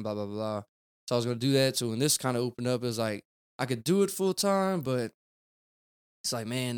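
A young man talks loosely as a podcast guest, rambling and tossing in "dude" and "man". His voice is smooth and clear, sitting at a middle pitch for a man. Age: 20s. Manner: rambling, laid-back, peppered with "dude" and "man". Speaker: guest, car detailer. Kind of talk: casual podcast chat.

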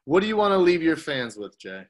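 A man's voice: on the high side, very animated, fairly quick. A man hosting a casual roundtable chat, loose and improvised, trailing off as he wraps things up. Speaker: host.

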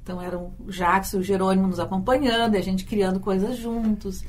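A woman's voice: medium pitch, little pitch movement, fast, darkish tone, slight background noise. A Brazilian woman, a university teacher and storyteller, answering in a radio interview, thoughtful and often repeating words as she thinks.

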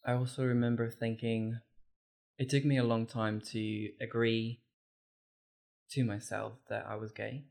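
A man in his thirties, born and raised in the Republic, telling his story earnestly with halting restarts as a podcast guest. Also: medium pitch, little pitch movement, vocal fry, a little mellow. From Czech Republic. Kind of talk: conversational storytelling.

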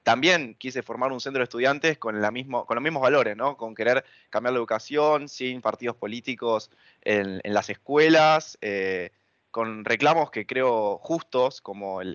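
A man's voice: mid-range voice, a little slow, a bit bright, no room sound. A young man with a Buenos Aires Argentine accent, giving earnest interview answers in a hesitant, thinking-aloud way. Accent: Buenos Aires Argentine Spanish. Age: young adult. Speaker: interviewee, student activist. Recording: dull lo-fi audio.

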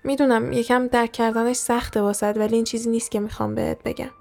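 A woman's voice: fairly high pitch, steady tone, creaky, a little mellow. A woman voicing a serious young friend who confides secrets earnestly and hesitantly in an audio story. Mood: grave, confiding.

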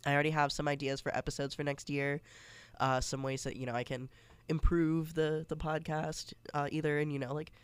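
A man's voice: on the high side, level tone, brisk, clean tone, no room sound. A congested young man hosting a casual solo podcast, chatty and rambling with many fillers and restarts. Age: young adult. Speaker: podcast host.

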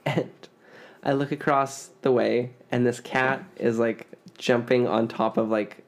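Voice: mid-range voice, fairly even intonation, slow pace, vocal fry. Chatty podcast host with a Canadian accent. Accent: Canadian, Ontario. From Ontario, Canada. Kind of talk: casual chat.

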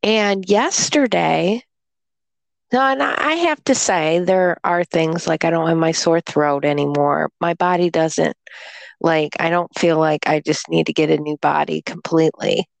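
A woman chatting casually, slowly telling a rambling story about being ill, still sounding congested. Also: sits low, creaky, dry recording. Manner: rambling storyteller, slow and drawn-out. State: congested, recovering from illness. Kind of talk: casual chat.

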